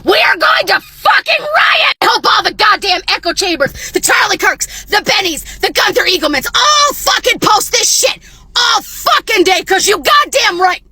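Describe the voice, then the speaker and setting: Hoarse furious woman shouting a rant. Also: very high-pitched, very animated, moderate pace, no room sound. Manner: furious profane shouting, voice cracking near tears. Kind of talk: angry political rant to camera.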